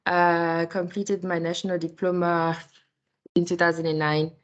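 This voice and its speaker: A woman from Cameroon speaks over a video call in a fairly low voice. She comes across a little flustered as she introduces herself to her class. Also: little pitch movement, measured pace, muffled recording. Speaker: student.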